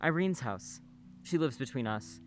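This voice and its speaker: A man's voice: mid-range voice, expressive, a little slow, a little hiss or hum, lightly acted. A man playing a polite middle-aged nurse neighbor. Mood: calm, friendly. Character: gentle older male nurse neighbor, married, childless. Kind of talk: radio play acting.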